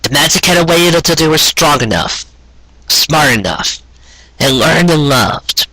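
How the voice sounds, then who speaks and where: A man giving an expressive, earnest reading in the manner of a storyteller, with dramatic phrasing. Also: a little high, a little slow, dry recording, rough recording. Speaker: fan fiction reader and show host.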